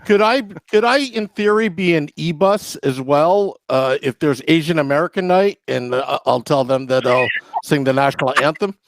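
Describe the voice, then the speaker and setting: A man's voice: on the high side, moderate pace, no echo. A man co-hosting a casual podcast, teasing and joking with the others.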